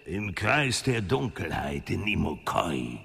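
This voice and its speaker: A cool, calculating schemer in a fantasy radio play. His low, creaky voice moves slowly, commanding and sardonic. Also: very animated, sharp tone, slight background noise, exaggerated voice. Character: cool, calculating male conspirator, commanding and mocking. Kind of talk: fantasy radio drama acting.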